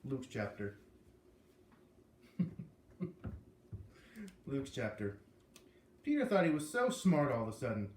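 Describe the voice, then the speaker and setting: A man reading a children's novel aloud in an expressive storytelling way. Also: mid-range voice, slight background noise. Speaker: read-aloud reader. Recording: echoey room.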